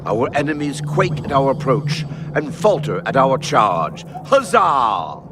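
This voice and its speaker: A man's voice: medium pitch, background noise, close to an everyday voice. Pompous young knight played by a man, wildly swooping delivery. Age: young adult. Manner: pompous, grandiose, theatrical declaiming. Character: brash, pompous young knight, count's vain son. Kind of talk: fantasy video game acting. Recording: music behind the voice.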